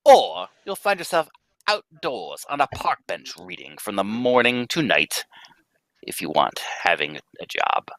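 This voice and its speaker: A man voicing a stern bank president who lectures his employee in a pompous, emphatic way. The voice is bright and forceful, swinging widely in pitch, and recorded dry and close. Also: sing-song, a little slow, no echo. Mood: stern lecturing. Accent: American. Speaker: live script reader. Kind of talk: live radio-play reading.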